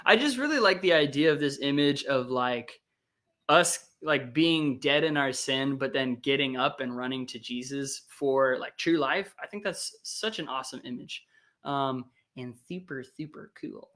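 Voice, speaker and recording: Slow-talking, rambling worship leader. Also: mid-range voice. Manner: rambling, relaxed, with many fillers and quick asides. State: voice wearing out from singing. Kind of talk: casual chat between worship songs.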